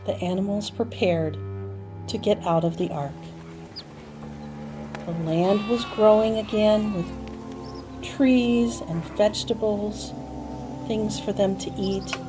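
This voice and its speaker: Woman, children's storyteller, expressive delivery, unhurried pace, music behind. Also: mid-range voice. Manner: expressive, dramatic emphasis with repeated phrases and gasps. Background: music and sound effects.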